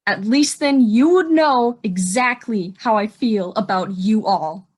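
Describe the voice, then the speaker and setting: A woman's voice: mid-range voice, animated, unhurried. A woman acting in an audio drama as a bitter, defiant young murder suspect, indignant and sardonic. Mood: bitter, despairing.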